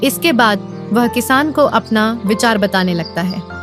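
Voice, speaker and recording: High-pitched woman narrating a story. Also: lively intonation, measured pace, music in the background.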